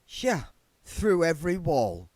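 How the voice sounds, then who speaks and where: A man's voice: fairly high pitch, sing-song, slow, darkish tone, dry recording, pitched above the performer's own voice, full character voice. A man voicing a blunt, gloomy older working-class man, a reluctant vampire count, in a book read aloud. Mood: grumbling.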